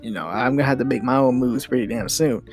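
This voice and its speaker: A man's voice: medium pitch, fast, soft background music. A young man hosting a solo podcast, ranting casually with many restarts and mild swearing. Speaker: podcast host.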